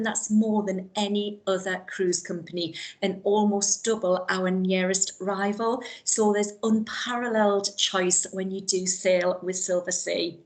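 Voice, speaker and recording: A middle-aged woman with a Geordie accent, presenting to a virtual travel show in a chatty sales manner with plenty of ums. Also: low-quality recording. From northeast England. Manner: chatty sales pitch with frequent ums and direct address. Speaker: cruise line account manager.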